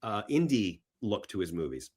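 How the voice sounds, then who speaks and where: A man chatting casually and enthusiastically as a podcast guest about films, halting and rambling, sometimes voicing imagined reactions. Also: on the low side, big pitch swings, darkish tone, slightly rough. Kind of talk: casual film talk in an interview.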